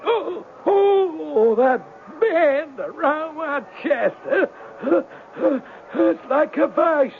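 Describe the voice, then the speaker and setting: A con man's folksy sidekick in a radio play. His voice is strikingly high and he talks slowly and haltingly. It comes through thin and tinny, like an old seventies broadcast. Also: expressive, full character voice. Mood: feigned agony. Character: reluctant, plain-spoken male sidekick of a swindler. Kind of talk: 1970s radio drama acting. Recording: tinny 1970s radio recording, faint noise.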